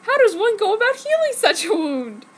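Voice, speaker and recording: A woman's voice: very high voice, very animated, normal speed. A woman giving an exaggerated, mock-serious dramatic reading of a story as an amateur fanfiction reader. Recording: noticeable background noise.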